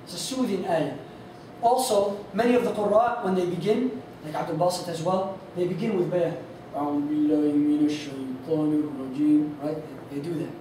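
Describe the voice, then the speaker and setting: A man's voice: on the high side, fairly even intonation, fast, mellow. A man giving an informal lesson on recitation styles, casual and rambling with many fillers, and losing his voice. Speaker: instructor on Quran recitation. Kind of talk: informal lesson with sung demonstrations. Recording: echoey hall from far off, faint noise.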